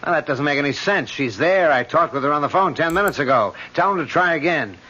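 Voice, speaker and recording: An actor playing a brisk, matter-of-fact police lieutenant in an old-time radio mystery. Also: male voice, fairly high pitch, lively intonation, bright, forceful tone. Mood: brisk, puzzled. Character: brisk male police lieutenant, gruffly friendly. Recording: dull lo-fi old radio recording.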